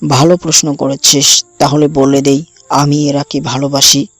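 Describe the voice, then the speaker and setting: A man's voice: medium pitch, level tone, moderate pace, fairly bright tone, no room sound, lightly acted. A man playing a menacing, jealous young college rival in an audio drama. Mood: threatening.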